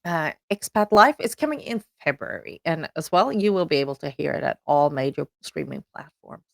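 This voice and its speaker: A middle-aged woman with a Swedish accent hosts a solo podcast announcement, chatty and upbeat with plenty of ums. Her pitch swings up and down animatedly, and the recording is close and dry with no room sound. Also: big pitch swings, normal speed, creaky, no room sound. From Sweden. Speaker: podcast host.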